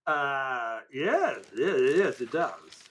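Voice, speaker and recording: An actor playing an affable, mild-mannered Victorian doctor who narrates a detective audio drama and joins in the dialogue. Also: male voice, medium pitch, very animated, drawn-out words, a bit bright, exaggerated voice. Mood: earnest.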